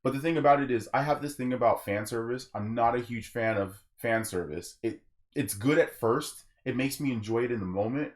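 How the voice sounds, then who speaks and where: A man's voice: medium pitch, brisk. Young man hosting a casual podcast. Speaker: podcast host. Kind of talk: casual opinionated gaming podcast discussion.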